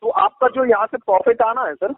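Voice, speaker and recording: A man making a phone sales pitch, insistent, repetitive and evasive. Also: sing-song, brisk. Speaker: advisory company agent on a sales call. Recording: tinny, echoey phone call recording.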